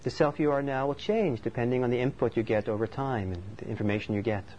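A man from Norway speaks as a meditation teacher, giving a dharma talk in a thoughtful, unhurried, conversational way. His voice sits at an ordinary middle pitch. The recording sounds slightly muffled, with a faint hiss of background noise underneath. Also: darkish tone.

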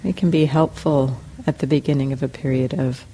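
A woman teaching a guided meditation in calm, measured short phrases with long pauses between them. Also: rumbling low, slight background noise, rough recording. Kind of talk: guided meditation, slow spoken instructions.

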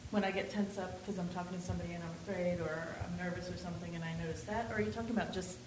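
A woman with a lowish voice asks a long question from the floor of a class, circling and restarting as she looks for the right words. Her voice carries the echo of a large room over a faint hiss. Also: fairly even intonation, rapid-fire, a little mellow. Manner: rambling, searching for words, many restarts. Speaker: student asking a question. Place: meditation center hall.

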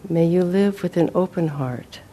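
A woman leading a guided meditation as a teacher, speaking gently and in a measured way with long pauses. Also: lowish voice.

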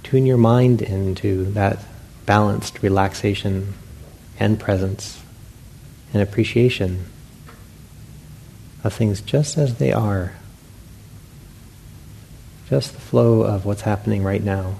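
Low, mellow man guiding meditation. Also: vocal fry, low-quality recording. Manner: unhurried, gentle guidance with long pauses. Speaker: meditation teacher. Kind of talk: guided meditation instruction to a group. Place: meditation hall with a group. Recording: faint background noise.

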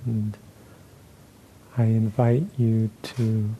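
A man guides a meditation in a very dark, relaxed voice. He speaks slowly, in short phrases set apart by long pauses. A faint low rumble sits behind him. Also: lo-fi sound. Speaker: meditation teacher.

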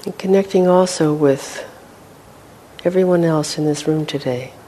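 A woman leading a guided meditation as a teacher, speaking gently and without hurry, with long pauses. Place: meditation hall with a group. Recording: faint rumbling background noise.

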